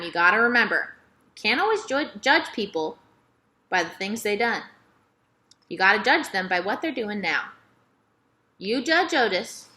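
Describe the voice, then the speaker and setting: A woman voicing a frank, wise, kindly old Southern woman with folksy dialect in a children's storybook. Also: medium pitch. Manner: folksy dialect, gently admonishing. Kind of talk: children's novel read-aloud.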